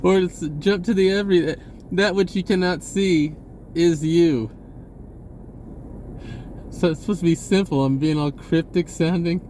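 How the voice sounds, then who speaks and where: A man's voice: high-pitched, steady tone, a little slow, long pauses, darkish tone, slight background noise. A man talking in a casual, rambling, informal monologue with folksy wording, often correcting himself as a spiritual vlogger.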